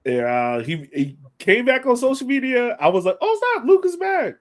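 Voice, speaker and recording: A man's voice: very high voice, big pitch swings, moderate pace. A man hosting a sports fan podcast, chatting casually in an informal, slangy, enthusiastic way. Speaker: podcast host.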